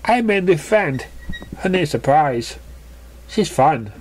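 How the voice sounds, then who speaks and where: A reader voicing a bubbly, excitable young female cartoon pony in a chirpy, bouncy way. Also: medium pitch, takes it slowly, a little hiss or hum. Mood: cheerful.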